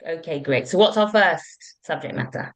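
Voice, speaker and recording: A woman's voice: low-pitched, normal speed. A woman hosting an online panel in an informal, conversational way. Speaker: webinar host.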